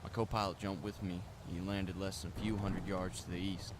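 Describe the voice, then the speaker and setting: A man's voice: low-pitched, flat delivery, a little fast, noisy background, natural voice. A man playing a brash, grumbling bomber pilot captain in a radio drama. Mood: brisk, concerned.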